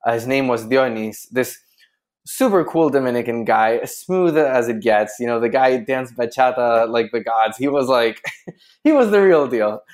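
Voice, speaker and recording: A man's voice: medium pitch, lively intonation, moderate pace, a little mellow. A man from Chile, a guest on a podcast, telling a story in casual chat and laughing often. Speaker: guest, drinks brand founder.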